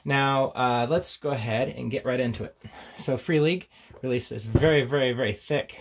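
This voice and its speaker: Casual man with a creaky voice. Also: medium pitch, normal speed, a little hiss or hum. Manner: hesitant, informal, thinking aloud. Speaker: host of a tabletop gaming channel. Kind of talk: casual unscripted product walkthrough.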